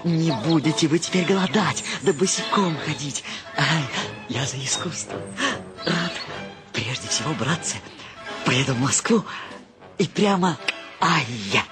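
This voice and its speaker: Male narrator as giddy, sentimental provincial player; animated exclamations, loud music behind. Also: on the high side, unhurried, pauses often. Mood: overjoyed. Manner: gushing, broken exclamations. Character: gushing, giggly, sentimental male provincial actor. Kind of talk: theatrical dramatized reading.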